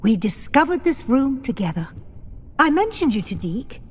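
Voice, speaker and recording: An actress playing a brisk, encouraging British witch professor in a video game, composed and instructive. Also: sits high, very animated, breathy edge, heavily acted. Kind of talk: video game dialogue acting.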